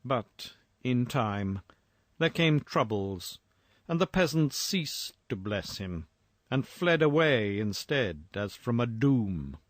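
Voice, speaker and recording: A man reading a first-person horror story in a measured, formal, dramatic storytelling manner. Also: medium pitch, very animated, no room sound, clean studio sound.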